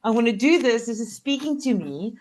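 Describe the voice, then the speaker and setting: A woman's voice: on the high side, expressive, a little fast, darkish tone. A South African woman whose first language is Afrikaans, chatting as a coach guest on a video-call interview and laughing often. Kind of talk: casual interview chat.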